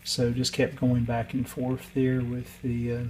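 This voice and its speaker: Guy walking thru a repair on video, pretty monotone, unscripted and halting, bit of noise behind him. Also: medium pitch, low-quality recording. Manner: hesitant, unscripted, matter-of-fact. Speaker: radio restorer and video host. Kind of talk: casual workbench walkthrough.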